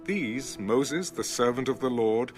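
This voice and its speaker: Male scripture narrator, mid-range voice, measured and formal delivery, with music clearly playing underneath. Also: mid-range voice, darkish tone. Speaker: audio Bible narrator. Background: background music.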